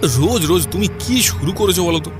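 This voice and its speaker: A man's voice: high-pitched, lively intonation, brisk, over music. A man playing a young husband in an audio story, by turns soothing and dismissive. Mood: agitated. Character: reassuring, slightly impatient young husband. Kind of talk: audio story dramatic acting.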